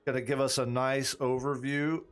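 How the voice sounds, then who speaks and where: A man's voice: mid-range voice, flat delivery, normal speed, soft background music. A man running a tabletop role-playing stream as game master, thinking aloud in a rambling, conversational way with frequent restarts. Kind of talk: running a tabletop campaign stream, chatting with viewers.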